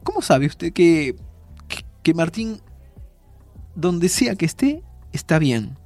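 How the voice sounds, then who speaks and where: Young Argentine male, playful time traveller, higher-pitched and expressive, audio fiction with music underneath. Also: slow, full character voice. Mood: hesitant, earnest. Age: young adult. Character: young man time traveller, cheeky, playful and warm-hearted. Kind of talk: naturalistic audio drama acting.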